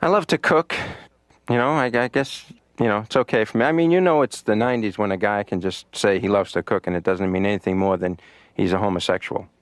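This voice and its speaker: A deadpan cartoon patient, voiced by a man, tells his jokes in a low, flat, matter-of-fact voice. He pads his lines with "you know" and lets the punchlines drop quietly. The sound is dry and close. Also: brisk, dry recording, natural voice. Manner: deadpan stand-up delivery, many "you know"s. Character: comedian patient on the therapy couch. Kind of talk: stand-up in a cartoon.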